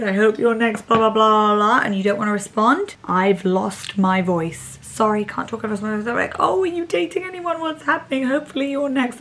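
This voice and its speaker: A woman in her thirties chatting candidly to camera in a Q&A, full of "like" and "honestly", keeping her voice low while it recovers from strain. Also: a bit bright. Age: 30s. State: voice still recovering, speaking low. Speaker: vlogger. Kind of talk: casual Q&A chat to camera.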